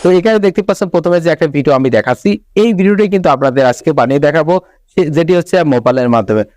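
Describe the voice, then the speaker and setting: A man's voice: fairly high pitch, animated, rapid-fire, a little mellow, no echo. A man speaking as a channel host, addressing viewers in a scripted video introduction, recorded as a close voice-over.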